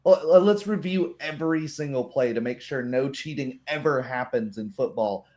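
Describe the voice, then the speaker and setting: A man in a relaxed group chat, talking as the game master. His voice sits at an average pitch for a man, and he explains himself with analogies and quick acted-out examples. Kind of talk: casual chat.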